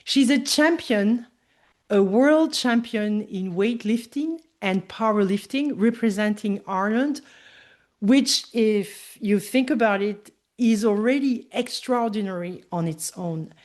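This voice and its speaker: A woman hosting a podcast, reading a scripted introduction with a measured delivery before interviewing her guest. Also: mid-range voice, a little mellow. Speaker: podcast host.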